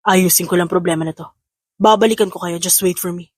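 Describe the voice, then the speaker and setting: A woman's voice: fairly low pitch, steady tone, fairly quick, a little mellow, no room sound, pitched below the performer's own voice, lightly acted. A woman playing a serious, protective businessman and new father in an audio drama. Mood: serious, caring. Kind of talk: Tagalog audio drama acting.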